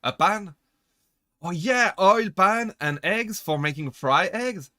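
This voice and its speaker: A man's voice: high voice, expressive, slow, bright, forceful tone, no room sound, clean studio sound. A young man from France with a French accent, chatting casually with his live-stream chat, laughing often and restarting his sentences as he jokes. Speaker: VTuber streamer.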